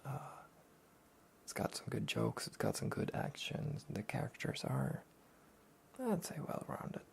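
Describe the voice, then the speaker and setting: A soft-spoken man hosting a relaxed review show, often near a whisper, rambling and hesitant in an understated way. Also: mid-range voice, unhurried, gravelly, a little hiss or hum. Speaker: host of a soft-spoken anime talk show.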